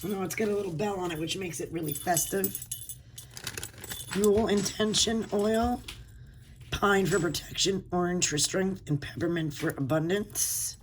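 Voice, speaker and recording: A woman with a hoarse voice from a cold, hosting a casual unboxing video and gushing softly over pretty items. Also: fairly low pitch, normal speed, a little hiss or hum, rough recording. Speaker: unboxing channel host.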